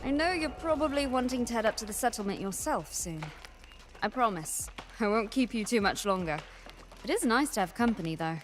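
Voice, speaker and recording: An actress playing a weary, friendly quest giver in a fantasy video game, speaking in a gentle, understated, earnest way. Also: female voice, fairly high pitch, expressive, fairly quick, very noisy, lightly acted. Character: weary, friendly woman guarding a fantasy settlement. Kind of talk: scripted game dialogue, conversational.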